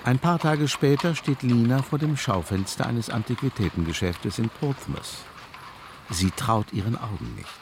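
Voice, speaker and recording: An actor playing a calm, knowing narrator in a radio drama, measured and insinuating. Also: male voice, medium pitch, background noise, close to an everyday voice. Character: calm, knowing male narrator prodding the heroine. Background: loud music.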